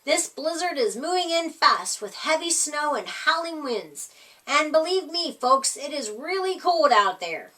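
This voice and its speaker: A woman voicing an excitable, confident young female frog TV reporter from a storybook, breezy and emphatic. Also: high-pitched, measured pace, pitched above the performer's own voice, full character voice. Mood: urgent reporting.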